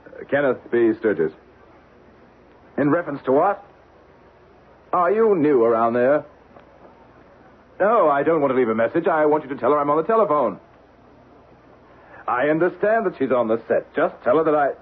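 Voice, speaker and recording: A man's voice: fairly high pitch, animated, normal speed, long pauses, breathy edge. An actor playing a domineering, ambitious, middle-aged businessman and husband in an old-time radio drama, imperious and emphatic. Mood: impatient, commanding. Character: domineering, ambitious, philandering corporate boss and husband. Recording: tinny, noisy old radio recording.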